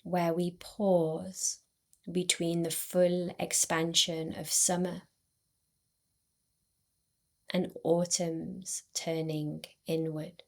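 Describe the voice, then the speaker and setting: A woman guiding a yoga nidra relaxation in a soothing, unhurried way, with long pauses between short phrases. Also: lowish voice, flat delivery. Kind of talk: guided meditation.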